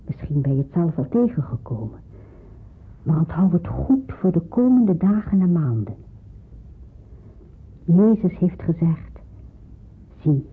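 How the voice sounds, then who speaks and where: A woman playing a devout, tender middle-aged aunt in a radio drama, husky and solemn, on an old narrow-band recording. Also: lowish voice, sing-song, unhurried, pauses often, heavily acted. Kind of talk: Dutch radio drama acting.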